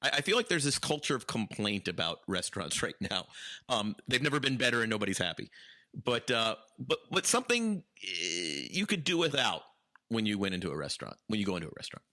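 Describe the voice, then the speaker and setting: A male podcast co-host who asks questions and cracks self-mocking jokes. His lowish voice is bright and swoops up and down, and the sound is dry and close. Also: a little fast, dry recording. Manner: self-mocking, jokey, animated. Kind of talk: casual podcast chat.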